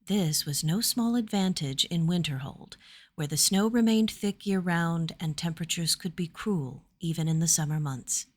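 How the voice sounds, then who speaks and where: A woman's voice: fairly low pitch, normal speed. A woman storyteller reading scripted fantasy fiction narration.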